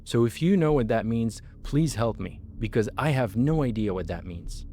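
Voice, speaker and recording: A man's voice: medium pitch, lively intonation, normal speed, warm tone, soft background music. A man giving an earnest personal testimony in a monologue, repeating phrases and drawing out key words for emphasis. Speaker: storyteller recounting his life.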